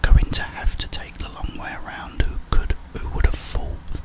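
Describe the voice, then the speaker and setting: A middle-aged man whispers ASMR gameplay commentary in a breathy voice, muttering and mildly grumbling to himself. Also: normal speed. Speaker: gamer, ASMR video host. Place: indoors, small room at home.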